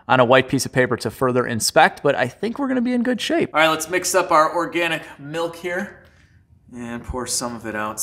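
A man's voice: medium pitch, a bit bright. A man in his thirties in a casual repair vlog, talking while he works under a car on a lift in a garage and filming on a handheld camera held close. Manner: chatty, exasperated asides, talks while working. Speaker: car restoration YouTuber. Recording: echoey garage.

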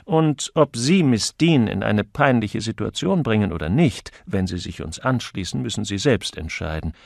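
A man voicing a witty, teasing gentleman sleuth in an audiobook, with an airy, flowery, mock-lecturing manner. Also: on the low side, lively intonation, moderate pace, creaky, dry recording, clean studio sound. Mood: playful, curious.

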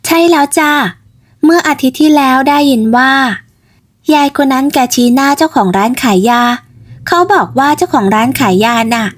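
Young village woman, played by a female narrator: high-pitched, cheerful, polite, animated storybook delivery. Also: moderate pace. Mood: gossipy, excited. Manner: polite, sweet sentence endings, animated. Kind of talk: storybook character voice.

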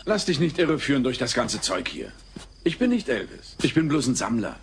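A man's voice: mid-range voice, wildly swooping pitch, fairly quick. An actor playing a baffled, polite man with an incredulous manner in a dubbed sitcom audio play. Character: baffled, drawling, polite male Elvis-lookalike collector. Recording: noisy old cassette transfer.

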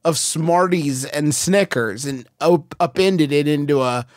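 A man's voice: on the high side, unhurried, no room sound, studio quality. A man hosting a podcast, riffing emphatically in comedic, casual banter with mock exasperation. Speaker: podcast host.

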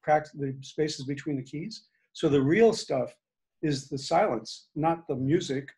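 An older man whose first language is Hungarian, chatting on a video call in a reflective, halting way with many fillers. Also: a little high, creaky, darkish tone. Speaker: discussion group member. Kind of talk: casual video call chat. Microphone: video call laptop mic.

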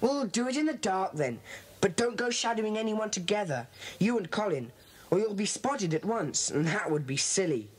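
A woman playing an earnest, bossy schoolboy club leader in a children's audio drama, brisk and authoritative. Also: mid-range voice, lively intonation, slightly rough. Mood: earnest, organising.

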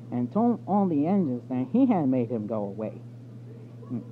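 High-voiced man haltingly reading, tinny sound. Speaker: storybook reader. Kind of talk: reading a children's storybook aloud. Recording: tinny phone-like audio with background noise.

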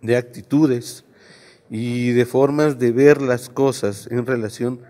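Deliberate official man, reverberant room. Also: mid-range voice, steady tone, dark tone. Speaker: human rights commission head. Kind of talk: interview statement. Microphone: handheld reporter mic.

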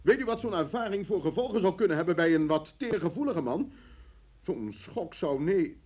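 An actor playing a shaken, indignant man wrongly detained in an old radio drama, stammering and halting in a husky voice. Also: high voice, animated, a little mellow. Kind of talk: vintage Dutch radio drama acting.

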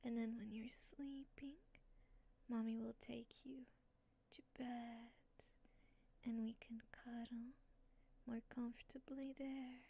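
A woman speaking in soft, breathy whispers in a soothing ASMR roleplay as a comforting motherly caretaker. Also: fairly high pitch, level tone, takes it slowly, long pauses. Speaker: ASMR creator.

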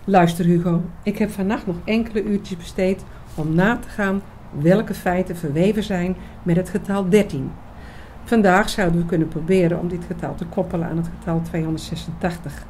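A woman's voice: fairly low pitch, steady tone, moderate pace, a little mellow, a little hiss or hum, natural voice. A woman playing an eager, knowing researcher in a scripted radio drama, explaining mysteries with emphasis. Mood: eager, coaxing. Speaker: actress in an audio drama.

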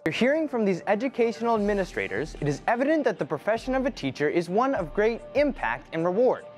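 A teenage student host asking interview questions and reading a scripted wrap-up in an earnest way. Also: male voice, high voice, very animated, fast, faint music behind.

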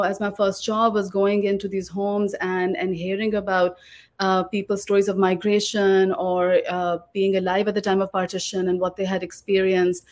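A woman, talking reflectively and articulately as an interview guest on a video call. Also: mid-range voice. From Lahore, Pakistan. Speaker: guest oral historian and author. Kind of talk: reflective webinar interview talk. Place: living room at home, video call. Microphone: video call microphone.

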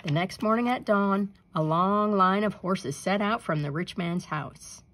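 A woman reading a children's folktale aloud in an expressive, deliberate storytelling manner. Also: mid-range voice. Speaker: storybook reader. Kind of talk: scripted narration, children's folktale read aloud.

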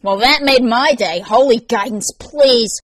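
A woman performs a swaggering young man from an audio story, quick to rant and full of sarcasm. He sounds forceful and heated, pitched up from plain narration, in a very dry recording. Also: no room sound, lightly acted. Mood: mocking. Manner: ranting, foul-mouthed, sarcastic. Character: hot-tempered, sarcastic, swaggering dark-haired young man.

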